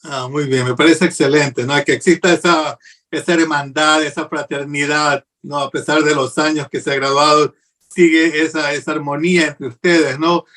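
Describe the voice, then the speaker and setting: A man hosts an interview program, courteous and upbeat as he welcomes his guest. His voice is a touch high for a man, and he fills pauses with small hesitations. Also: steady tone, fairly bright tone. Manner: courteous, upbeat, many fillers. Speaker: host. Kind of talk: hosting an interview.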